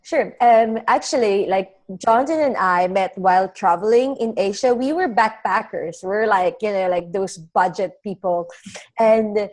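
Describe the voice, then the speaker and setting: Young woman, chatty guest telling her story in a relaxed webinar. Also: medium pitch, unhurried. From Philippines. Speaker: travel blogger guest. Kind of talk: casual webinar interview.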